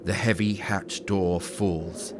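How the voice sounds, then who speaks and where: A man narrating a scripted horror story in a dramatic, deliberate storytelling manner. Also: sits low, fairly even intonation. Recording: clearly noisy background ambience.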